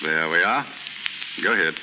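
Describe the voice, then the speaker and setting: An actor playing a calm, well-off gentleman with courteous, reassuring talk in a 1930s radio drama. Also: low-pitched, normal speed. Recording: very noisy 1930s radio recording.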